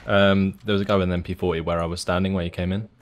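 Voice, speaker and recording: A man chatting casually with a teammate during an online game, relaxed and laughing off his own deaths. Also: sits low, rapid-fire, slight background noise. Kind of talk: casual gameplay chat.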